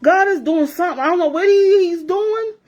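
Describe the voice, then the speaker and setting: A woman's voice: extremely high pitch, a little fast, a little mellow, muffled recording. A woman in her forties talking straight to her viewers in a blunt, profane rant, repeating herself for emphasis. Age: 40s. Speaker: vlogger. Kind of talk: casual rant to camera.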